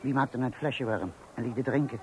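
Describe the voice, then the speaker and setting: A man's voice: medium pitch, normal speed, natural voice. An actor playing a worldly man in his fifties in a radio play, lecturing in an emphatic, patronising way. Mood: knowing. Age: 50s. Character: worldly, lecturing fifty-year-old colleague and father of many. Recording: noisy old radio play recording.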